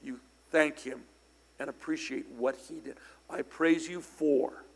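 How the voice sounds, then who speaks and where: Middle-aged male preacher, hoarse and gravelly, a touch high-pitched, anecdotal delivery with sudden loud peaks. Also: steady tone, unhurried. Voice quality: raspy. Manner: conversational, anecdotal, bursts of loud emphasis. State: slightly hoarse from singing. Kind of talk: sermon. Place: church sanctuary. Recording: long hall reverb in church.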